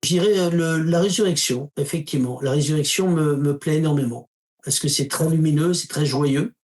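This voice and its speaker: A man with a fairly high voice talks casually in an interview. He is a spiritual teacher who tells stories, acting out the dialogue with lively asides. His tone stays informal and direct. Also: fairly quick. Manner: storytelling, acts out quoted dialogue with emphatic asides; uses informal tu to the listener.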